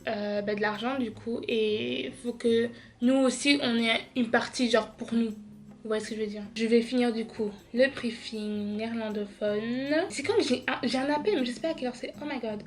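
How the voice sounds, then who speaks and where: A woman's voice: on the high side, steady tone, moderate pace. Tired chatty young woman vlogger. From Belgium. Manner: chatty, tired, says genre a lot. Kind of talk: casual vlog chat. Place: home.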